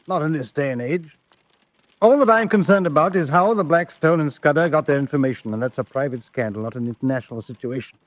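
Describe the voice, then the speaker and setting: A man playing a senior official in a radio spy play, his voice on the high side and dryly recorded. He is curt and sceptical, with pompous, precise diction. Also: no echo, lightly acted. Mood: brisk authority. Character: brusque, sceptical senior British government official. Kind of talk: old-fashioned radio drama acting.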